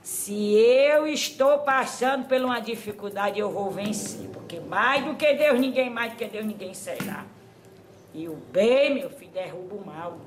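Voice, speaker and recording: A woman acting as a medium for an old grandmother spirit, giving slow, repetitive spiritual advice that trails off softly. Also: mid-range voice, expressive, a little hiss or hum, natural voice. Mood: counseling. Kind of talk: spiritual counseling.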